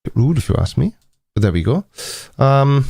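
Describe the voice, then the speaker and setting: A man's voice: medium pitch, very animated, normal speed, vocal fry, darkish tone, husky, dry recording. A man in his forties with a Welsh accent, hosting a casual live stream chat in a dry, teasing, mock-indignant manner. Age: 40s.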